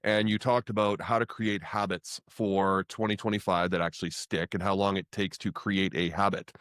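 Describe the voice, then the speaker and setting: A male podcast host with a fairly low voice asks his guest questions. He speaks earnestly and often restarts his phrases. The recording is dry and close. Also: flat delivery, moderate pace, a bit bright, no room sound. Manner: earnest, with frequent repeated words and restarts. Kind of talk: interview questions, casual podcast talk.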